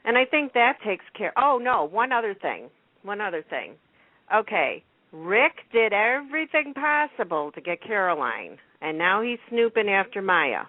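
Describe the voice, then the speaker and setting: A woman's voice: mid-range voice, very animated, normal speed, dry recording. A woman hosting a radio talk show in a casual, chatty style. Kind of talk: casual chat about TV soaps. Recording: narrow telephone-line radio sound.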